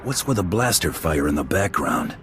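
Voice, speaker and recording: Very deep, laconic bounty hunter. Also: male voice, sing-song, normal speed, slight background noise. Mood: businesslike. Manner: terse, dry, unbothered. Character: gruff, laconic hardened male bounty hunter. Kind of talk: video game voice acting.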